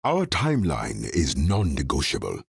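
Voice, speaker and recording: An actor playing a commanding, calculating villain boss in a video game, deep and gravelly, speaking in a measured, authoritative way. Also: male voice, wildly swooping pitch, no echo, studio quality, heavily acted. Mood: stern, composed. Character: commanding, calculating male villain leader of a criminal organization.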